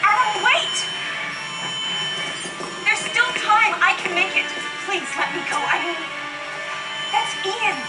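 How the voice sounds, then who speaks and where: A woman's voice: very high-pitched, lively intonation, slow, long pauses, heavily acted. An actress playing a young female soldier in a game cutscene, urgent and pleading with emotion. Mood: desperate pleading. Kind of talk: video game cutscene acting. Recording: distant, roomy, loud music behind.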